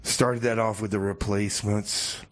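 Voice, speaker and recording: A man's voice: on the low side, little pitch movement, normal speed, loud music behind the voice, close to an everyday voice. An American man hosting a radio show, playing a laid-back, skeptical DJ in comic banter and song announcements. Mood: easygoing announcing.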